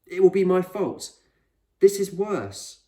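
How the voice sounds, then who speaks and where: Man voicing an anxious, stubborn boy, pitched up. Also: expressive, slow, dark tone. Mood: scared. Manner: urgent, questioning, argumentative. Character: anxious, stubborn young boy, questioning and defiant. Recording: roomy echo, distant microphone.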